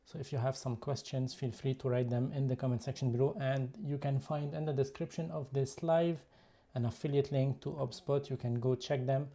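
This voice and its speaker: A man with a Lebanese accent hosts a casual photography live stream, chatting as he shows off his cameras. His voice is deeply dark and mellow, sitting in an average pitch for a man. English is not his first language, and he talks it through in an easy, conversational way. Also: little pitch movement, brisk. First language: Lebanese Arabic. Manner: chatty, explaining gear while showing it. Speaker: YouTube photography channel host.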